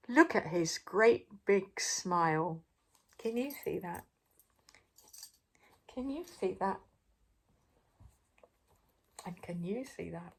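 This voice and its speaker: An older woman reading a children's story aloud expressively, pausing for drama and putting questions to the listener. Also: medium pitch, a bit bright. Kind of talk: animated read-aloud to young children.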